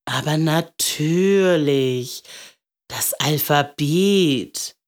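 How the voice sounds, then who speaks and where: A woman voicing a kindly, playful old male candlemaker in a storybook, talking in a gentle, ceremonious way. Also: low voice, sing-song, exaggerated voice. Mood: puzzled, gentle. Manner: gentle, ceremonious, drawn-out questioning.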